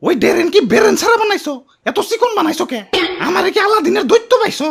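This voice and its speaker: A man's voice: extremely high pitch, expressive, quick pace, creaky, fairly bright tone, exaggerated voice. A man voicing a self-pitying, hot-tempered puddle of floodwater in a comic cartoon, whining melodramatically in a Bangladeshi accent. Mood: angry. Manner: whiny, melodramatic complaining.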